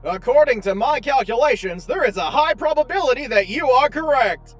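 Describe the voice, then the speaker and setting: A performer voicing a calm, literal-minded tour guide AI in a space comedy audio drama, formal and matter-of-fact. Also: sits high, moderate pace, faint music behind. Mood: impassive.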